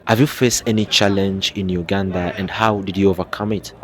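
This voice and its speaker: Male street interviewer, quick prompts, encouraging reactions. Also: deep voice, steady tone, a crowd in the background. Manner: short prompts and encouraging affirmations. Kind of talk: casual interview questions.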